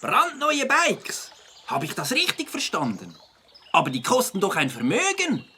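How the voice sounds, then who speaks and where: An excitable, outspoken youngster in a Swiss German children's audio play. The voice is bright and forceful and swings widely in pitch. There is faint noise behind it. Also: unhurried, full character voice. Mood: amazed. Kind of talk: children's audio drama acting.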